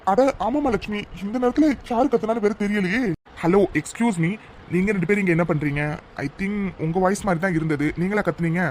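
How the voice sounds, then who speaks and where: A man's voice: sits high, fast talker, a little hiss or hum, lightly acted. A man playing a concerned young family man in a comic audio drama, questioning insistently and mixing in English phrases. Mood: puzzled.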